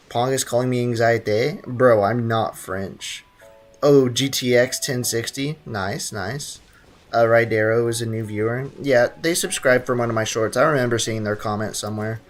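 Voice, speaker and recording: A young man streaming a game casually, joking around and reading chat aloud. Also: mid-range voice.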